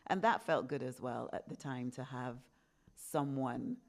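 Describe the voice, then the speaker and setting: A woman in her early fifties speaks in an unusually deep, low register. Her manner is candid and reflective as she recounts her own past in a relaxed podcast conversation. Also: a little slow, creaky. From England. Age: 50s. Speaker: podcast host being interviewed.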